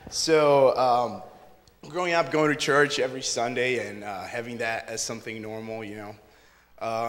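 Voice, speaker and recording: A young man from Brazil tells his story on a church stage. His voice sits a little low but rings bright and clear. He talks earnestly and casually into a handheld mic, with the long reverb of a big hall around him. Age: 20s. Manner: earnest, relaxed storytelling with fillers. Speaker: interview guest. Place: church stage before an audience.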